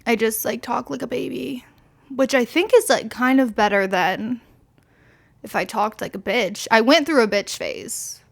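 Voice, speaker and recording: A woman's voice: on the high side, unhurried, gravelly. A woman in her twenties, slightly tipsy, rambling candidly through a casual solo podcast with lots of fillers and swearing. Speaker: podcast host.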